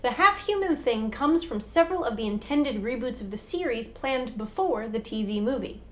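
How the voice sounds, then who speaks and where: A woman's voice: a little high, animated, moderate pace. A young adult woman, co-hosting a scripted explainer to camera. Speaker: co-host. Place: small indoor room set with whiteboard.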